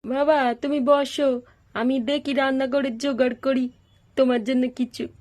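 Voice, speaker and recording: A woman's voice: sits high, steady tone, a little slow, darkish tone. Woman acting fussing, hospitable mother.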